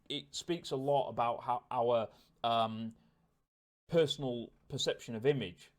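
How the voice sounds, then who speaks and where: Hesitant man thinking aloud in a vlog. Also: medium pitch. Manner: hesitant, rambling, repeats words while thinking aloud. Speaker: vlogger. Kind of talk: casual vlog talk to camera, self-reflective.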